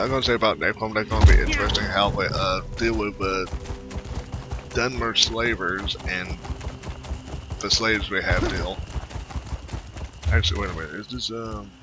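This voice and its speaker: A man giving casual, halting video game commentary, rambling as he thinks aloud. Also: fairly low pitch. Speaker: gamer narrating a playthrough. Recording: voice over prominent game music. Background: game music.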